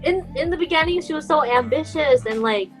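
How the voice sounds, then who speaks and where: A woman's voice: high-pitched, animated, brisk, faint music behind. A young woman co-hosting a podcast, speaking informally and earnestly in a casual conversational style. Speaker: podcast co-host.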